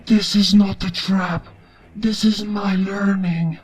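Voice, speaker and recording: A detached storybook ink creature, played by a man, with a high voice. It speaks in terse, formal, logical phrases over background music. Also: steady tone, slow. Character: detached, logical inky mutant mechanic creature.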